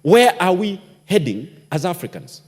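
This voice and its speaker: A man addresses parliament with passion and keeps throwing out rhetorical questions. His voice sits a little high and swings widely in pitch. The long reverb of a large chamber hangs behind every word. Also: sing-song, normal speed, slightly rough. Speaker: member of parliament. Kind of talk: parliamentary debate speech.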